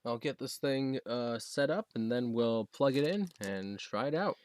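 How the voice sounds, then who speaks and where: A young American man chatting casually through a home unboxing video, halting and rambling as he goes. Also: medium pitch, expressive, a little mellow, slight background noise. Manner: halting, rambling, reads box text aloud. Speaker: video creator showing a gadget. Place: basement room at home.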